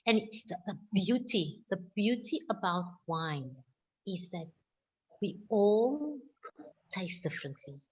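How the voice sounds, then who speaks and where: A South African woman winemaker answering questions on a video call, speaking slowly and with firm emphasis. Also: mid-range voice, expressive, highs cut off. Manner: slow, deliberate, emphatic. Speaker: winemaker guest.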